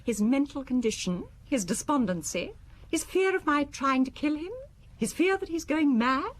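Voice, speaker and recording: An actress playing a cold, elegant wife in an audio drama, speaking precisely with haughty contempt and pointed questions. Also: female voice, high voice, lively intonation, normal speed. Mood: cool, pointed.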